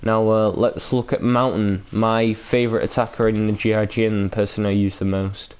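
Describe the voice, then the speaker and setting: A man's voice: lowish voice, level tone, moderate pace, a little mellow, clean tone. A man hosting a gaming video with casual, unscripted commentary, rambling and hesitant with loose, sweary language.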